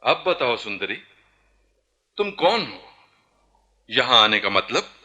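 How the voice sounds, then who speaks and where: Proud young nawab, sharp and animated. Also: male voice, mid-range voice, takes it slowly, heavily acted. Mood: probing, wry. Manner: regal, rhetorical, sardonic. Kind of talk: theatrical Hindi radio-play acting.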